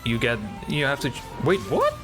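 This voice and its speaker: A man's voice: mid-range voice, huge pitch swings, moderate pace. A man hosting a casual reaction video, thinking aloud in hesitant fragments. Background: game trailer music.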